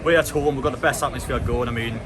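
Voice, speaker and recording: A man interviewed outside a football ground, talking excitedly about the match. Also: medium pitch, a crowd in the background. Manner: rapid, excited. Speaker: fan interviewee. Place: outside a stadium. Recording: outdoor street recording with loud music.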